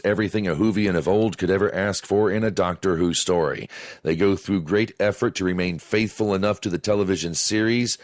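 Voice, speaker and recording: Deep-voiced man narrating a review. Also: no room sound, studio quality. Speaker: audio drama reviewer.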